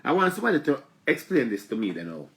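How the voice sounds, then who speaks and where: A man's voice: mid-range voice, fast. A man in his forties with a Jamaican accent, hosting a live talk stream from a small room with a stuffy nose, chatty and repetitive. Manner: chatty, repetitive, animated when addressing viewers. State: stuffy from allergies. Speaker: live show host. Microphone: phone camera close up.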